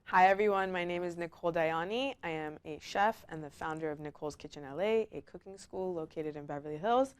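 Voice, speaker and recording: A woman with a smooth voice, a chef chatting casually among fellow contestants. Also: lowish voice, fairly quick, hardly any pauses. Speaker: chef and cooking school founder, contestant. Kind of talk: casual chat.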